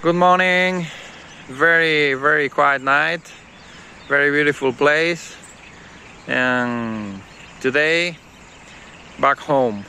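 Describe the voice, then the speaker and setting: A man in his forties with Spanish as his first language, talking slowly in simple phrases into a phone held at arm's length outdoors at a riverside campsite. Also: a little high, bright, forceful tone, slight background noise. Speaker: vlogger. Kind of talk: casual travel vlog.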